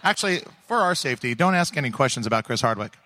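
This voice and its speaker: A man's voice: medium pitch, expressive, fast talker, sharp tone. A man co-hosting a live podcast on a theater stage, teasing his partner and playing the straight man. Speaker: podcast co-host.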